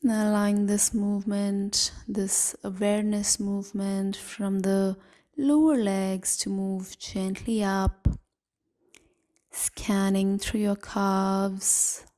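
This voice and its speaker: A woman leading a guided meditation in a gentle, soothing, half-whispered voice, leaving long pauses between phrases. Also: medium pitch, little pitch movement. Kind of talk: guided evening body scan meditation.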